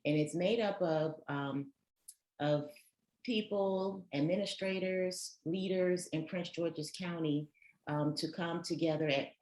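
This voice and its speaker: A woman's voice: low voice, darkish tone, roomy sound, poor audio. A woman co-chairing and moderating an online learning session, speaking hesitantly with many fillers. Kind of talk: hosting a virtual learning session.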